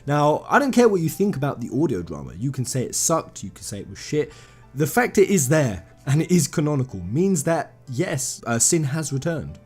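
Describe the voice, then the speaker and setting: A man with a fairly high voice and very lively, swinging intonation hosts a gaming channel. He gives informal, emphatic opinions in slangy language, gushing like a devoted fan, with faint music behind him. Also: normal speed, slightly rough. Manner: emphatic, slangy, addressing viewers as a group; effusive fan enthusiasm. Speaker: video game channel host. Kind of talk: informal opinion commentary to viewers.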